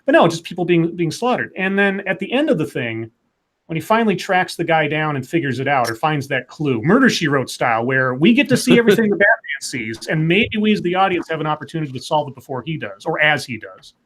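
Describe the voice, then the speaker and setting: A man with a fairly high speaking voice talks casually on a live stream. He builds story pitches out loud, rambling and swearing now and then, with real enthusiasm. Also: sing-song, fast, poor audio. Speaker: podcast co-host.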